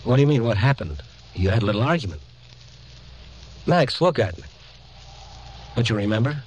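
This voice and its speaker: An actor playing a brisk, concerned, hard-boiled reporter in a vintage radio drama. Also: male voice, mid-range voice, very animated, darkish tone, husky, poor audio. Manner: punchy, urgent, streetwise. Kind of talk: 1950s radio drama narration and dialogue.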